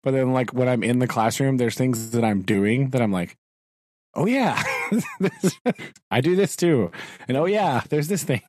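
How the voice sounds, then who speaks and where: A man's voice: mid-range voice, lively intonation, a little slow, darkish tone, no echo. A man, a teacher co-hosting a podcast, chatting casually, laughing often and acting out his own thoughts. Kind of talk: casual chat. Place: home.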